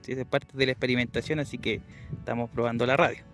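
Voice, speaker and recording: Chilean male radio hobbyist, casual field vlog delivery outdoors, average pitch, clear music bed behind. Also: fairly even intonation, fast talker, a bit bright. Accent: Chilean Spanish. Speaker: amateur radio operator and vlogger. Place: outdoors on a hilltop.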